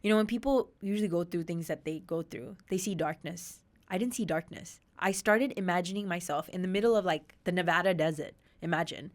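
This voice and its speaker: A woman in her thirties from Australia, a podcast guest telling her personal story candidly, with emphatic, animated retellings. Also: lowish voice, brisk, hardly any pauses. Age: 30s.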